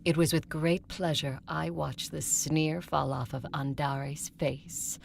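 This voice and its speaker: Woman acting a creaky-voiced vampire woman telling her story. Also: deep voice, level tone, slow pace, heavily acted. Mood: tender, awed. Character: ancient vampire woman conqueror, fond and watchful, narrating. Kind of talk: dramatized first-person audio fiction narration. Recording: clearly noisy recording.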